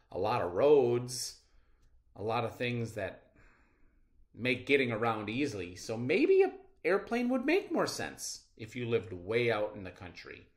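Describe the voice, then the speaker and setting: A middle-aged man teaching a read-aloud reading lesson to children in a deliberate, expressive, explanatory way. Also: medium pitch.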